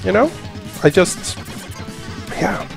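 A man's voice: high-pitched, animated, slow, creaky. A man with a Swedish accent, English not his first language, chatting casually and rambling with a self-mocking tone while co-hosting a game let's play. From Sweden. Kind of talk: casual gaming commentary. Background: video game music.

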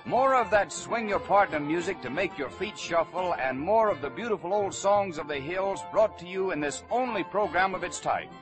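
A man's voice: high voice, expressive, moderate pace, few pauses, rough recording. A man announcing a variety show in the style of 1940s radio, on a vintage broadcast recording. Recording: vintage 1940 radio broadcast, music behind. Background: music.

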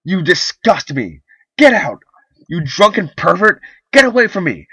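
A male narrator voicing a young wife who is angry and disgusted, his voice pushed high and strained. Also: big pitch swings, unhurried, bright, forceful tone, breathy edge, no room sound, full character voice. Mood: furious. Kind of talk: audiobook character voice. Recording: dull, lo-fi recording.

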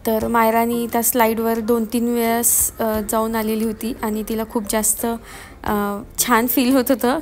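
A woman's voice: a little high, darkish tone. A woman narrating a family vlog in casual, explanatory voice-over, often repeating her phrasing. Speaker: family vlogger. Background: music.

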